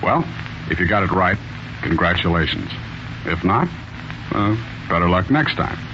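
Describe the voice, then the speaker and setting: Deep-voiced old-time radio mystery host. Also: male voice, animated, normal speed. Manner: theatrical, addressing listeners directly. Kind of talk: 1940s radio hosting, scripted. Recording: muffled, noisy 1940s radio recording.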